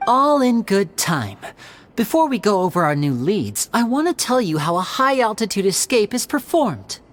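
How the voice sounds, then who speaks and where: A suave young stage magician. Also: male voice, high voice, sing-song. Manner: smooth, measured storytelling explanation. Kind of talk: video game cutscene dialogue. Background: soft background game music.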